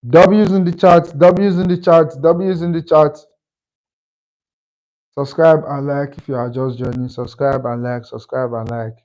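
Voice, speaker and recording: Slow, repetitive young male streamer. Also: a little high, fairly even intonation, low-quality recording. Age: 20s. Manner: slow, repetitive shout-outs to chat. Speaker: livestream host. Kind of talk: casual live-stream chat with viewers.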